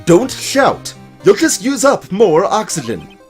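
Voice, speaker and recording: Man voicing a stern, strained man, pitched high. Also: huge pitch swings, takes it slowly, faint music behind, heavily acted. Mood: angry, tense. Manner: sharp, commanding. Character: stern, strained man snapping at a panicking companion.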